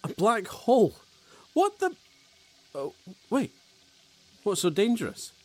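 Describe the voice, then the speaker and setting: A man acting a frightened male crew member woken from stasis, halting and disbelieving. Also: high-pitched, wildly swooping pitch, full character voice. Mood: anxious. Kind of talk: audio drama acting.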